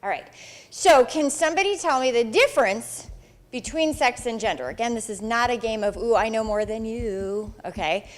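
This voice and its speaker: A woman with a big voice giving a chatty, animated classroom presentation into a handheld mic. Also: a little high, normal speed. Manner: animated, chatty, acts out quoted reactions. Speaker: psychology instructor and Pride Center coordinator. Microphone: handheld microphone.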